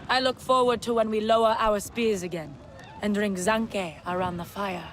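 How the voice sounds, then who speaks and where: A woman's voice: medium pitch, fairly even intonation, moderate pace, a little hiss or hum, close to an everyday voice. A woman playing a proud, solemn alien clan warrior in a video game.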